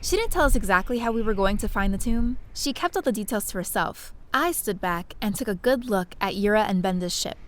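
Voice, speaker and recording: A woman's voice: mid-range voice, expressive, brisk, hardly any pauses, a bit bright, slight background noise, close to an everyday voice. An actress playing a chatty, curious young woman stowaway who narrates and talks through her space adventure in an audio drama. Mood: wistful narration. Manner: chatty, breezy, eager. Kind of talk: first-person audio drama narration and dialogue.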